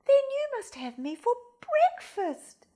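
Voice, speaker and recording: Woman voicing a high, dramatic storybook goose. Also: huge pitch swings, slow pace, dark tone, full character voice. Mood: pleading. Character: quick-witted storybook goose, dramatic and stalling for time.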